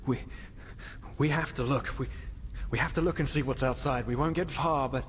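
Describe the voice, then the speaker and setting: An actor playing a despairing, half-mad prisoner in a video game, weary and fatalistic, brooding in halting, philosophical lines. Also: male voice, mid-range voice, natural voice. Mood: stunned, urgent. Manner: brooding, halting, philosophical, with sighs and whispery trailing words. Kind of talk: dramatic video game dialogue acting. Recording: long hall reverb, noisy ambience.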